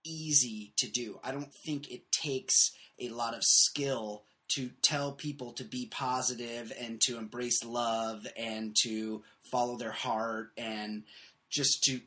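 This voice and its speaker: Podcast host dude, bright tense voice, quick opinionated riffing. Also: male voice, mid-range voice, steady tone. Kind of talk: casual comedic podcast chat.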